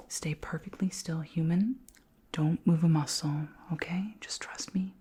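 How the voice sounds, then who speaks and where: A woman voicing a curious, gently commanding alien queen in a soft, measured, formal whisper for an ASMR roleplay. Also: sits low, slightly rough, full character voice. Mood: soothing, commanding.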